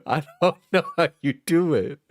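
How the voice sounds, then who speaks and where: A man's voice: fairly high pitch, a little slow, no echo, clean studio sound. A sleepy man livestreaming, chatting casually and rambling, laughing and swearing often. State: sleepy, just out of bed.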